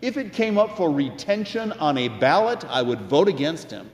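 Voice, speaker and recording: A man's voice: a little high, very animated, normal speed. Older american guy preaching, chatty reflective pastor telling stories, big echoey church. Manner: conversational, reflective. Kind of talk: sermon with personal anecdotes. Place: large indoor church. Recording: echoey hall with long reverb.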